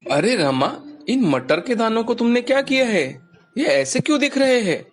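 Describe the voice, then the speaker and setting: A man's voice: very high-pitched, very animated, moderate pace. A man playing an emphatic, possessive village husband in a dramatised children's moral story. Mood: surprised, questioning. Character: village husband, astonished, possessive about his gold.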